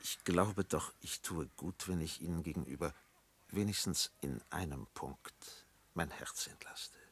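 An actor playing a solemn, probing old village pastor in a radio play, with a gravelly, husky voice and a measured, formal, unctuous manner. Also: male voice, extremely low pitch, fairly bright tone, exaggerated voice. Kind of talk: German radio play acting.

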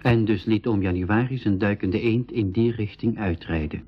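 An actor narrating an adventure for a school radio drama in a measured, serious way. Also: male voice, lowish voice, husky. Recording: dull band-limited 1980s radio recording.

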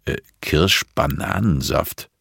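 A man's voice: rumbling low, slow, vocal fry, a bit bright, breathy edge, dry recording, studio quality, exaggerated voice. A man voicing a skeptical, teasing detective in an audiobook, with a mocking, incredulous way of talking. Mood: irritated, teasing. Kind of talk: audiobook character dialogue.

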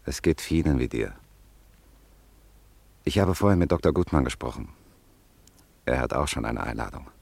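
An actor playing a loyal French friend who gently comforts a refugee couple in a radio drama. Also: male voice, extremely low pitch, very animated, normal speed, vocal fry, pauses often, breathy edge, dry recording, full character voice. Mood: consoling. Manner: gentle, consoling, hushed. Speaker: family friend. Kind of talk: radio play acting.

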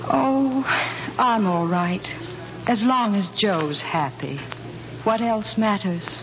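Sly, sweet 1940s radio wife, muffled. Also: female voice, medium pitch, takes it slowly. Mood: sweetly martyred. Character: sly pretend wife, sweetly martyred, playfully stubborn. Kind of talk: 1940s radio comedy acting. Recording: muffled, faintly noisy 1940s radio recording.

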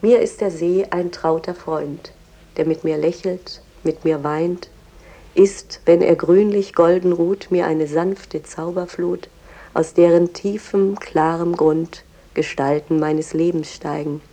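A cultured museum guide in a radio drama. Her low female voice is mellow and creaky. She explains in a measured, reverent way, devoted to her subject. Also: fairly even intonation. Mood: lyrical. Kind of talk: explanatory guided tour with verse recitation.

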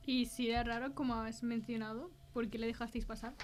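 A woman's voice: on the high side, fairly even intonation, fairly quick, slight background noise. A woman with a Spanish accent from Spain, presenting and asking questions as the host of a mystery interview podcast.

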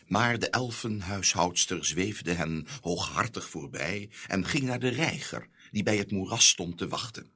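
A man narrating a fairy tale in an expressive, scripted storybook style. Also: low-pitched, a little slow, few pauses, husky.

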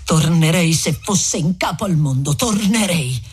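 A woman's voice: lowish voice, expressive, a little slow, husky, pitched below the performer's own voice, heavily acted. A woman voicing a stubborn, hurt young peasant man. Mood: hurt, indignant. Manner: pleading, indignant and insistent. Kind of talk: audiobook reading of a classic novel.

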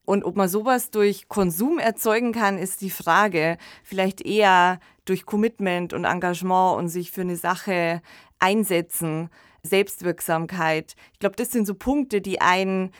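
A woman's voice: mid-range voice, measured pace, no echo, clean studio sound. A woman hosting a podcast discussion, explaining her thoughts in a casual, conversational way. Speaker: podcast host.

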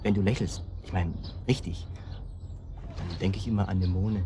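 An actor in a German radio drama playing a conciliatory, soft-hearted male friend with a hesitant way of talking. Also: low-pitched, steady tone, moderate pace. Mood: gentle, wistful. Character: conciliatory, gently wistful male friend of siblings. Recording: clearly noisy ambient background.

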